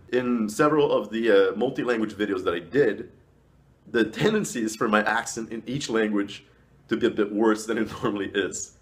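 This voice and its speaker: A man talking casually as a vlogger giving advice, emphatically stressing and stretching key words. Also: lowish voice. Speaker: language vlogger. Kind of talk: casual advice talk to camera.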